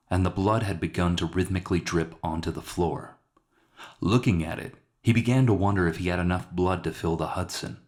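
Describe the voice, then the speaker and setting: A man reading a scripted dramatic short story aloud as its narrator. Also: low voice. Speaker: author narrating his own story.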